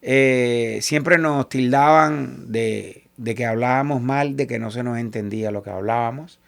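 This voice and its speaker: Gravelly Cuban-accented man telling stories. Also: medium pitch, measured pace. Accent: Cuban Spanish. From Cuba. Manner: animated, quotes others in lively asides. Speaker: salsa singer and guest. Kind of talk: casual interview storytelling.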